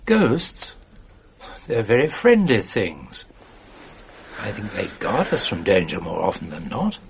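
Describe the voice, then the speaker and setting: An actor playing a gentle, weary older father soothing his frightened young son with unhurried storytelling in a radio drama. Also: fairly low pitch, wildly swooping pitch, vocal fry, noisy background, exaggerated voice. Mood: gentle, reassuring.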